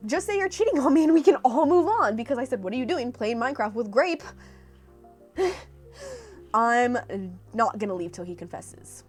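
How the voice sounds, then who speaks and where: High, lively young woman vlogger. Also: normal speed, pauses often, soft background music. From California. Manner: chatty, animated, sarcastic asides. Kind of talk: casual reaction vlog storytelling.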